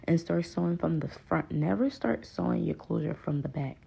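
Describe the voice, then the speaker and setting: Gravelly-voiced Haitian-accented woman. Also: sits low, normal speed, warm tone, highs cut off. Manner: patient, pleading, repeats "please, guys". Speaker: hairstylist. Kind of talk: voice-over tutorial.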